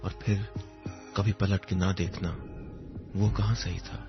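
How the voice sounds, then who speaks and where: A man narrating a first-person story in a wistful, reflective manner, with poetic phrasing and pauses. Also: low voice, level tone. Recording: dull lo-fi audio, music underneath. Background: music.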